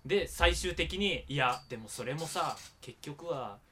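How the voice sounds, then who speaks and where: A man's voice: on the high side, lively intonation, a little slow, crowd noise. A man hosting a podcast in casual, self-deprecating chat. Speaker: podcast host.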